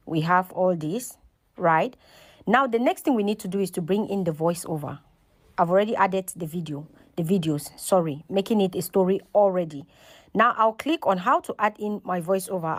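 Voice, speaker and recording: A woman hosting a casual step-by-step tutorial, talking instructionally and often checking in with "okay" and "right". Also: mid-range voice. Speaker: tutorial host.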